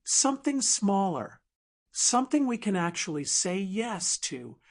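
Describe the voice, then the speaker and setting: A woman voicing an earnest, tender man, a gruff contractor in love, in an audiobook drama. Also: mid-range voice, big pitch swings, measured pace. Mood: tender, resolved.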